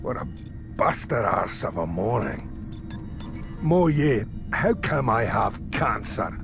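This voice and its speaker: Male space marine: gruff, sardonic, gravelly, unhurried delivery over music. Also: fairly low pitch, big pitch swings, exaggerated voice. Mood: dismayed. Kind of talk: science fiction audio drama acting.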